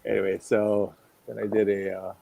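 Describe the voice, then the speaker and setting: A man's voice: on the low side, little pitch movement, normal speed, gravelly, dark tone. A man co-hosting an online drawing show, bantering casually and commenting on drawings.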